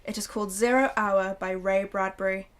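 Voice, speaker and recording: A woman's voice: mid-range voice, steady tone. A young woman narrating and announcing in a recreated 1940s radio drama. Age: young adult. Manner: measured, storytelling.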